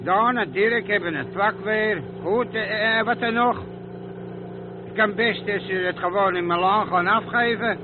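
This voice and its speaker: An actor playing a carefree, breezy male driver in a radio drama, easygoing and dismissive of worries. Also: unhurried. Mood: breezy, unworried. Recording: tinny noisy radio recording, motor rumble.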